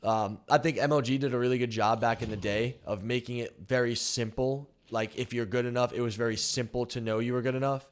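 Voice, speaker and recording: A man's voice: mid-range voice, steady tone, fairly quick, hardly any pauses. A young man streaming while he plays a game, chatting loosely and frankly with lots of "like" and some swearing. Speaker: streamer and pro team coach. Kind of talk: casual chat while gaming on stream.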